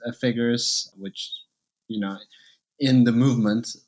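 A man's voice: medium pitch, steady tone, a little slow, darkish tone, rough recording. A young man guest on a podcast, talking easily and hedging with you know and like. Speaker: interview guest. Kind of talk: casual interview chat.

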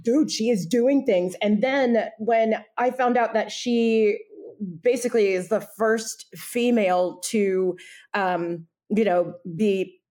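A woman's voice: mid-range voice, takes it slowly, dark tone. A woman co-hosting a podcast in casual chat, gushing and giggling, with many fillers. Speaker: podcast co-host.

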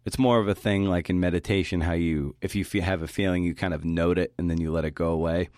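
A man's voice: sits low, fairly even intonation, fast, a little mellow, no room sound, studio quality. A man hosting a podcast, delivering a scripted talk that links interview clips. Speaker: podcast host giving a talk.

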